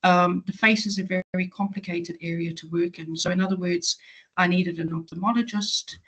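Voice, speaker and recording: A woman's voice: fairly low pitch, fairly even intonation, brisk, breathy edge, rough recording. A woman in her forties with a South African accent, a patient advocate giving a matter-of-fact webinar talk over a video call, with frequent ums. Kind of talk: webinar presentation.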